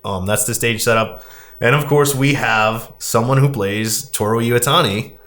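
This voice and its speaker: Podcast host, man, casual and halting, wanders through retellings. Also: medium pitch, a bit bright. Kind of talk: casual chat, recapping a film.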